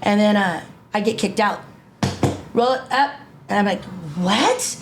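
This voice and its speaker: A woman in her fifties telling a gritty personal story in a casual interview, animated, repeating herself and swearing freely. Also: medium pitch, unhurried, background noise. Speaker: interview guest.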